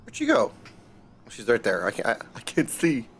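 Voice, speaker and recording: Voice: mid-range voice, wildly swooping pitch, normal speed. A game streamer giving casual, irreverent, sarcastic reactions while playing. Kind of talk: casual reactive gameplay commentary. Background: game music.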